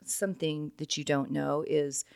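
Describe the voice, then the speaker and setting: A woman with a naturally raspy voice, hoarse right now, talking casually and earnestly as a solo podcast host. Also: low-pitched, fairly even intonation, measured pace, a little mellow, no room sound, clean studio sound. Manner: encouraging, earnest, many "right?" asides.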